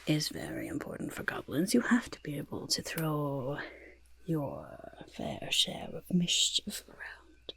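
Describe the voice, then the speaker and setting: Woman doing a croaky, whispery goblin potion-maker. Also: sits low, exaggerated voice. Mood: proud, instructive. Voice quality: husky, croaky, breathy half-whisper. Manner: fussy, chattering, repeats words. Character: cheerful, mischievous goblin potion-maker speaking in third person. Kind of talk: soft-spoken ASMR roleplay.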